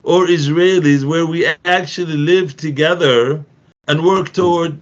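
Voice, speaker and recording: A man's voice: on the high side, steady tone, measured pace, highs cut off. A middle-aged Palestinian man in an earnest discussion, talking emphatically with frequent restarts and repeated phrases. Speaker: activist guest.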